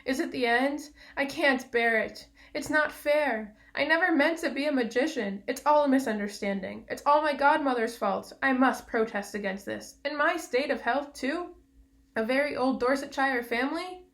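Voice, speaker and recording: A woman voicing a cowardly, pompous old gentleman in a storybook, in a trembling, plaintive wail. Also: high voice, moderate pace, voice pushed up. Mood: wailing self-pity. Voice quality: trembling, wailing. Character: cowardly, self-pitying old gentleman uncle, pompous and whining.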